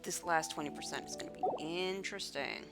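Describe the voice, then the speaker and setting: A woman with a slightly low voice gives casual commentary as she plays a game on stream. She rambles to herself in a wry way. Loud game music plays behind her. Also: brisk. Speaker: livestreamer playing a video game.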